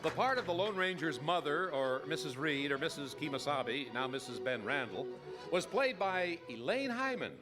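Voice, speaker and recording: A man's voice: on the high side, lively intonation, moderate pace. A man reading scripted credits as an announcer in old-time radio style. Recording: live stage, loud music and crowd.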